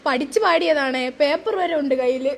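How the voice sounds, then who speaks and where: A woman's voice: sits high, moderate pace, vocal fry. A woman making a playful prank call. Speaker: prank caller.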